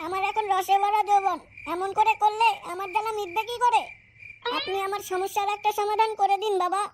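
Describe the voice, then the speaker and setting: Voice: very high-pitched, steady tone, fairly quick. A performer playing a coy, lusty, complaining young married woman in a comic cartoon, with crude whining delivery. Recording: clearly noisy recording.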